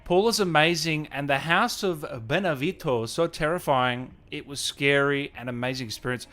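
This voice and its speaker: A man's voice: on the high side, normal speed, pushed and bright. Casual male livestream host, chatty and repetitive. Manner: chatty, informal, repeats phrases. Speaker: livestream host, gaming interviewer. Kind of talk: casual livestream chat, reading and answering comments.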